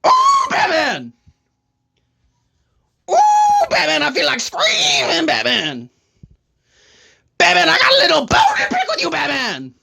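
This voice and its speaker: Crazy cackling clown villain, super swoopy and gravelly, sharp shrieky taunts, comedy parody. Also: male voice, extremely high pitch, wildly swooping pitch, takes it slowly, long pauses, heavily acted. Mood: manic taunting. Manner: exclaims theatrically, talks of self in third person.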